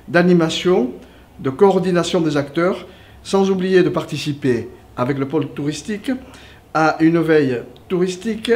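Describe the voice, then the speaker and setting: A man with a somewhat high-pitched voice delivers a formal report. He speaks as a local tourism official, measured and official in manner, following a prepared text that he partly reads out. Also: darkish tone.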